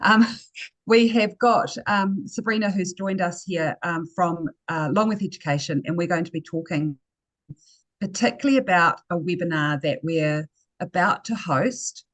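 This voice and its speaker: A woman's voice: lowish voice, normal speed, no room sound. A woman hosting an interview, enthusiastic, with fillers and tag questions like "right?". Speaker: host. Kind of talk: casual interview.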